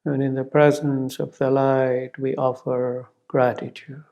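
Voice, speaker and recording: A man leading a spiritual guided meditation in a gentle, reverent way, pausing often, in a dull, muffled recording. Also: mid-range voice, flat delivery, vocal fry. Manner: gentle, reverent, measured with long pauses and repeated words.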